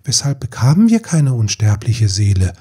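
A man voicing a young mermaid girl in a fairy tale, earnest, yearning and sorrowful, with a breathy voice. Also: medium pitch, big pitch swings, normal speed. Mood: sad, yearning. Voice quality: breathy, soft-edged. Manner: earnest, pleading questions.

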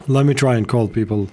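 A man's voice: mid-range voice, darkish tone, husky. Arabic-speaking man streaming, music behind. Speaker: game streamer. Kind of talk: casual gaming commentary. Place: small indoor room, streaming setup. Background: game music.

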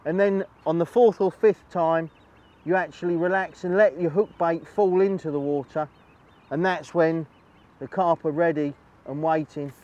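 Male angling presenter, middle-aged, slightly high voice, chatty how-to delivery recorded outdoors. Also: fairly even intonation, normal speed, darkish tone, muffled recording. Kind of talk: instructional fishing demonstration, chatty. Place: outdoors on a lakeside bank.

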